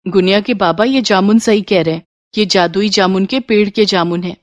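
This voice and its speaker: A woman's voice: medium pitch, normal speed, no room sound, clean studio sound, close to an everyday voice. A woman voicing a concerned village wife and mother in a storybook tale, urgent in manner. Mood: convinced.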